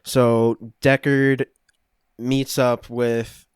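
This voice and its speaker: A man co-hosting a podcast, casually recapping a film to friends in a halting, conversational way. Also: mid-range voice, little pitch movement, clean tone, no echo, studio quality. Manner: halting, loosely conversational storytelling. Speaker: podcast co-host.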